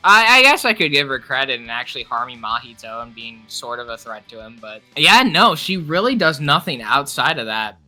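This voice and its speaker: A man's voice: medium pitch, very animated, brisk, few pauses, sharp tone, soft background music. A young man co-hosting a casual ranking chat, giving opinions in hesitant, rambling fragments. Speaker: co-host of a character ranking discussion.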